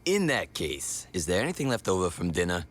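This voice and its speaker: Man voicing a deep-voiced, gluttonous sidekick. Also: very animated, a little fast. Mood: greedy, deadpan. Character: gluttonous, laid-back male sidekick, drily comic. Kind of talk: acted comic audio drama. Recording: music behind the voice.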